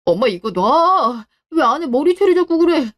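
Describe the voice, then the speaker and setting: A woman's voice: high voice, big pitch swings, moderate pace, pitched above the performer's own voice. A woman voicing an easygoing, self-reliant husband and son in a scripted radio drama, casual and mildly defensive. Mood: alarmed.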